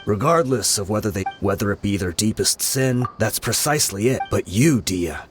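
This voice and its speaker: A man's voice: on the low side, expressive, normal speed, lightly acted. A man playing a grave, hesitant mercenary in a video game. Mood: solemn, hesitant. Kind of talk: English video game voice acting. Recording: game music behind the voice. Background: game soundtrack music.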